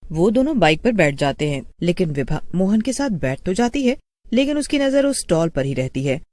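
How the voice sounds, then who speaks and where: A woman's voice: lowish voice, big pitch swings, normal speed, a little mellow. A woman storyteller reading a scripted horror story as narrator.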